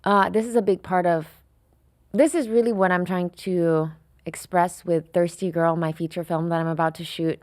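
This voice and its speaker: A woman's voice: fairly low pitch, steady tone, normal speed, no echo, clean studio sound. A woman in her thirties from France, speaking openly and reflectively as a podcast guest, with frequent fillers. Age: 30s. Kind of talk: candid interview conversation.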